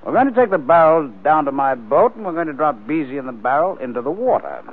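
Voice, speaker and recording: An actor playing a ruthless, commanding crime boss with dark humour in a 1940s radio drama. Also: male voice, fairly high pitch, big pitch swings, quick pace. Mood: coolly menacing. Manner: cool, commanding, darkly joking. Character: ruthless male crime boss running a crooked auction room. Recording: noisy, tinny 1940s radio recording.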